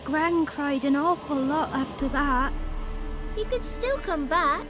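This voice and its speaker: A woman's voice: sits high, animated, slow pace. A woman playing a cheeky, blunt peasant orphan child in a fantasy video game, talking in rustic, ungrammatical phrases, with music behind. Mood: wary. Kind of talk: video game character acting.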